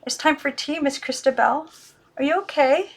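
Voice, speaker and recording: A woman voicing a frightened young girl who coaxes, then desperately shouts at her dolls. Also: high-pitched, normal speed, pitched above the performer's own voice. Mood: coaxing. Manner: coaxing, then frantic and shouting.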